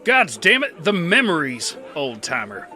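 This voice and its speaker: A haunted veteran gunslinger narrator, audio drama; slow, gruff, sardonic, music and crowd bed. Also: male voice, on the high side, wildly swooping pitch, bright, forceful tone, full character voice. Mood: world-weary. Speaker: narrator and lead.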